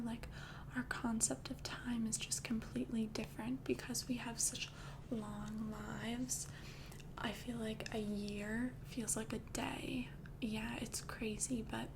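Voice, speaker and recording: A young woman voicing a caring young vampire girlfriend, mostly whispering in a casual, rambling way, recorded close with loud hiss behind. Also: on the high side, vocal fry. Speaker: ASMR creator. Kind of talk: ASMR roleplay.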